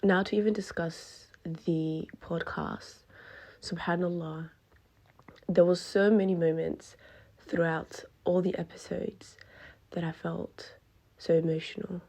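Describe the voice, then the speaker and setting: A woman from Western Australia speaks in a soft, husky tone with a gravelly edge, keeping her pitch flat and low. She sounds earnest and devout, like a listener recording a heartfelt voice message. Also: takes it slowly. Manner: earnest, devout, softly spoken. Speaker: listener sending a voice memo.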